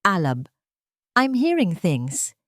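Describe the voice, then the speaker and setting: A woman's voice: lowish voice, wildly swooping pitch, takes it slowly, no room sound, studio quality, full character voice. A woman with a Filipino accent voicing a serious, blunt hospital superior in an audio story. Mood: stern. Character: stern senior doctor, a cautioning hospital superior.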